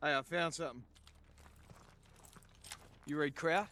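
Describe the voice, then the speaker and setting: A man's voice: fairly high pitch, unhurried, pushed and bright. A man playing a bold, easygoing soldier in a video game, self-assured, wry and matey. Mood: confident, wry. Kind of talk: video game cutscene acting. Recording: faint background field noise.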